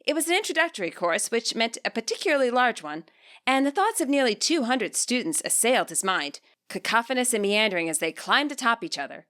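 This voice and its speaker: A woman reading scripted fiction aloud as a podfic narrator, measured and clearly enunciated. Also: a little high, big pitch swings, fairly bright tone. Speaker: podfic reader.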